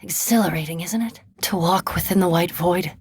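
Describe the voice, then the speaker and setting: An actress playing a cool, scholarly, curious vampire animancer in a fantasy video game, speaking formally and precisely with a dry, reserved manner. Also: female voice, on the low side, moderate pace, a little mellow, husky, no echo. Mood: reflective.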